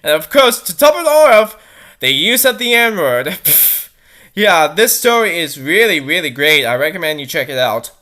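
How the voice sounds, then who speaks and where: An animated host reads fan fiction aloud with open sarcasm. The voice is bright and sharp, swinging widely in pitch, exaggerating the delivery in mockery. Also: moderate pace. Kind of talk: mocking dramatic reading with commentary.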